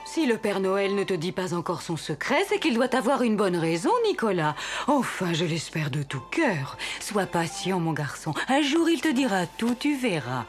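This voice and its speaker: A woman's voice: medium pitch, sing-song, moderate pace, close to an everyday voice. An actress voicing a caring, anxious, motherly woman in a children's cartoon. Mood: soothing, tender. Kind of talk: French children's cartoon dubbing. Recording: music behind the voice.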